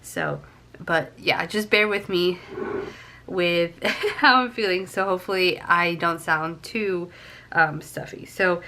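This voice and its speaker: A woman's voice: fairly low pitch, slow pace, pushed and bright, a little hiss or hum. A young woman with a stuffy nose from a cold, chatting casually to camera and counting cash aloud with frequent ums. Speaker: budgeting vlogger.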